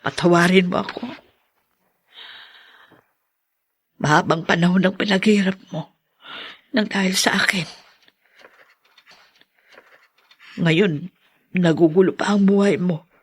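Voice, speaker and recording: A remorseful older mother acted by a woman in an audio drama. The voice is husky and worn, and she speaks haltingly and contritely, as someone seeking to make amends. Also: lowish voice, steady tone.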